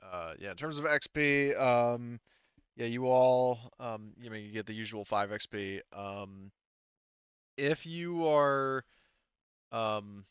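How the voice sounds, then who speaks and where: A man's voice: mid-range voice, takes it slowly, clear, resonant tone, no room sound. A man acting as game master, casually and somewhat hesitantly wrapping up a tabletop session over a slightly dull recording.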